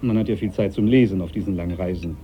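A man's voice: low-pitched, fast talker, mellow, natural voice. An actor playing a proud, devout, domineering sea captain in a German radio play, speaking formally and needling those he addresses. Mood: probing, assured. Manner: formal, commanding, needling, cuts others off. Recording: dull, noisy 1980s radio recording.

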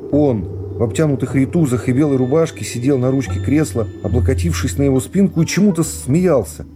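A middle-aged man reading classic prose as an expressive dramatic narration for a radio serial. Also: medium pitch, brisk. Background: music.

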